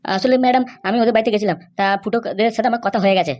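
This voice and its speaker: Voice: mid-range voice, darkish tone, lightly acted. A performer voicing an anxious young woman in a cartoon comedy, pleading and insistent. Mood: hesitant. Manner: pleading, insistent, halting starts. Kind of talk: amateur cartoon comedy dialogue.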